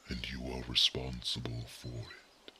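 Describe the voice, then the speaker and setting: A man voicing an ancient, wise male guardian who is not human, in an ASMR roleplay. He whispers his grave, measured counsel softly. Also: extremely low pitch, completely flat delivery, slight background noise, heavily acted. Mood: solemn counsel.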